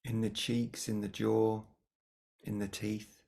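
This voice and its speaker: A meditation teacher leading a guided practice in a gentle, unhurried manner with long pauses between phrases. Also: mid-range voice, flat delivery, vocal fry.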